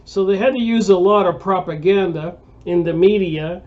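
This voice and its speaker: A man's voice: high voice, moderate pace, muffled recording. An American man in his sixties, hosting a book review channel, talks in a casual, rambling monologue full of fillers and restarts. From United States. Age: 60s.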